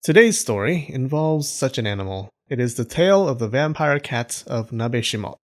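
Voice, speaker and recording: A man narrating a scripted folktale in a humorous storytelling style, with deadpan joking asides. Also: medium pitch, normal speed. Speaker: storyteller, channel host.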